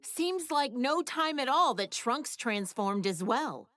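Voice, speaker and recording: Sassy, lively anime mom. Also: female voice, high voice, slow pace, no echo, studio quality, full character voice. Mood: breezy, chatty. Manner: breezy, opinionated, expressive. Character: proud, doting, teasing middle-aged mother, glamorous and sassy. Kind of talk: English anime game dub banter.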